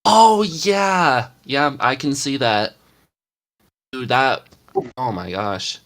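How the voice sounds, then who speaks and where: A man's voice: mid-range voice, lively intonation, a bit bright. A man on a podcast panel who writes ASMR scripts, talking in an easygoing, agreeable way. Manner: easygoing, quick to agree, chatty. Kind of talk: casual chat.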